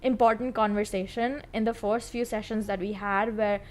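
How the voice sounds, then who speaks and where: A woman's voice: mid-range voice, fairly even intonation, moderate pace, a little mellow. A young woman talking casually to viewers, rambling with lots of fillers as she tells an exasperated story. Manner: chatty, rambling, full of "like" and "you know". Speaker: singing streamer.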